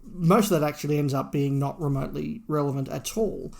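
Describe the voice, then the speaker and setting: A man's voice: a little high, fairly quick, vocal fry, a little mellow. A man co-hosting a review podcast, going through a story and giving his opinion of it. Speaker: podcast host. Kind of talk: book review discussion, partly reading a plot summary.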